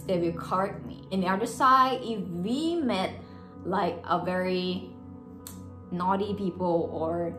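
A woman's voice: medium pitch, echoey room. A young woman from Thailand with a Thai accent, chatting expressively to camera about her experiences in a casual vlog style. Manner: chatty, expressive, gestures with hands. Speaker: vlogger. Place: small home room, indoors.

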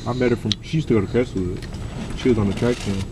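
A man's voice: steady tone, fast talker, recorded from a distance. A young American man chatting casually, with plenty of slang and swearing. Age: young adult. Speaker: prankster in the car. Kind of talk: casual chat. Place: inside a car at a drive-thru. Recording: noisy car interior, vehicle rumble.

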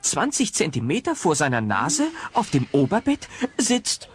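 An actor narrating a comic family audio play as a scripted, dramatic storyteller. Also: male voice, a little high, wildly swooping pitch, unhurried, faint music behind. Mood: wry storytelling.

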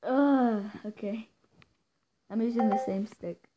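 A woman chatting casually while playing a pool game, excitable and quick to squeal and cheer at shots. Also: a little high, very noisy. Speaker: gameplay co-player. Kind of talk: casual chat over a game.

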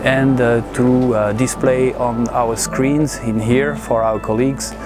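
A man answering interview questions in a hesitant, halting way, as an air traffic manager. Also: medium pitch, fairly even intonation. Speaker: head of air traffic control. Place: busy air traffic control room. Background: background music.

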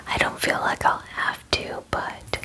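A woman in her twenties whispers softly and gently in a chatty, unhurried ASMR personal-attention roleplay, close to the microphone. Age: 20s. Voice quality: soft, breathy whisper. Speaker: ASMR performer playing a werewolf spa groomer. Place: small indoor room.

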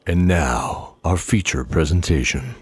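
A man's voice: extremely low pitch, measured pace, darkish tone, slight background noise. A man reading scripted dramatic narration as the narrator of a fantasy story.

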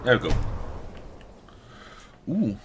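A man giving casual, excitable, sweary commentary as he hosts a gaming let's play. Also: medium pitch, slow, a little hiss or hum. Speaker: gaming video host. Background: fantasy video game audio.

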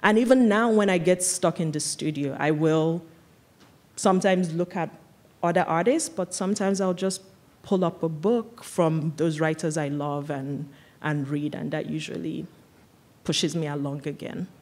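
A woman in her thirties from Nigeria speaks on a large auditorium stage into a stand microphone, her voice sitting a little low. She talks in a thoughtful, explanatory way, circling back with "like" and "um" as she builds her points. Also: a little slow, darkish tone. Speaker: guest artist. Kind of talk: onstage conversation before an audience.

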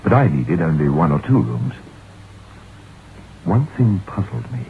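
An actor playing a brooding, reflective novelist who tells his haunted tale in measured 1960s radio drama style, on a tinny, noisy old recording. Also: sits low, animated, vocal fry, heavily acted. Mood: puzzled, musing.

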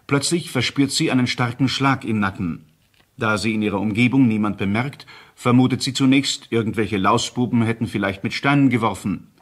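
Mid-pitched man, crime report narrator played by an actor, formal, factual, scripted radio narration. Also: a little fast, close to an everyday voice. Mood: factual, grave.

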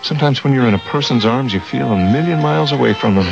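Troubled husband, expressive pitch. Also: male voice, fast, creaky. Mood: brooding. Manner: earnest, preoccupied. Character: troubled, brooding husband, loyal friend. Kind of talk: radio drama acting. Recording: dull radio recording with music.

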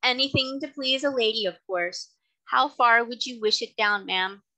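A woman reading a blunt, loud carter. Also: a little high, normal speed, poor audio. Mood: gruffly obliging. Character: gruff working carter man, blunt but obliging. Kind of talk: audiobook character reading.

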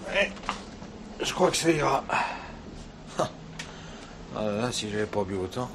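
An actor playing a drained, hungover, grieving husband, utterly exhausted, in a French radio crime drama. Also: male voice, fairly low pitch, animated, a little slow, noisy background. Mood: weary, dazed.

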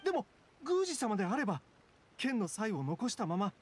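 A man's voice: sits high, sing-song, normal speed, breathy edge, exaggerated voice. A man voicing a timid, desperate young man in an anime-style game, speaking politely and earnestly. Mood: pleading. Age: young adult. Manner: polite, earnest, anxious explaining.

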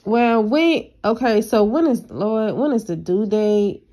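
A woman's voice: mid-range voice, slow pace, dark tone. An American woman talking casually and bluntly on a confrontational phone call, with a dismissive manner. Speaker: caller, vlogger.

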